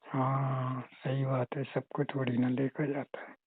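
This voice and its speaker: A man's voice: medium pitch, completely flat delivery, takes it slowly, husky, no room sound. A man playing a flirtatious, possessive brother-in-law, chatting casually and teasingly on a phone call. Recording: tinny narrow phone-call audio.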